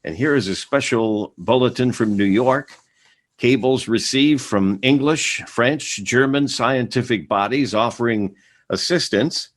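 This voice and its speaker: A man's voice: medium pitch, close to an everyday voice. A man playing a radio bulletin announcer. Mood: urgent. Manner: steady brisk bulletin reading with hesitant fillers. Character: male radio news announcer reading urgent bulletins. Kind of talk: 1930s radio drama acting.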